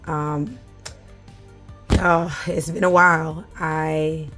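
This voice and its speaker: A woman, talking casually to her viewers in a hoarse, scratchy voice, with plenty of fillers. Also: low-pitched, flat delivery, drawn-out words, fairly bright tone, soft background music. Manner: chatty, with frequent fillers. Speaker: vlogger. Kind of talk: casual chat.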